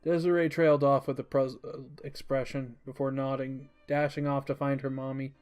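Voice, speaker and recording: A man's voice: medium pitch, flat delivery, moderate pace, darkish tone, clean tone, faint music behind. A man reading a scripted story aloud as its narrator.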